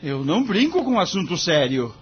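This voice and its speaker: Man acting earnest high-voiced young Brazilian lawyer. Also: very animated, moderate pace. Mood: earnest, firm. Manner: polite, sincere, earnest. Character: earnest, upright young male lawyer, principled and humble. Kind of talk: audio drama acting. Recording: dull lo-fi audio, music underneath.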